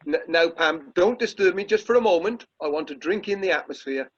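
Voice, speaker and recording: Guy doing a pompous bossy british husband, high husky voice, radio play, muffled call audio. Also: animated, brisk. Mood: wistful, grand. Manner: pompous, self-dramatising, exasperated. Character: pompous, bossy amateur theatre chairman, irritable husband. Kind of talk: amateur radio play acting.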